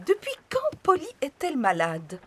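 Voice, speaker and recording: A woman playing a brisk, kindly lady hamster vet in a French preschool cartoon. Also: high-pitched, wildly swooping pitch. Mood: brisk, caring.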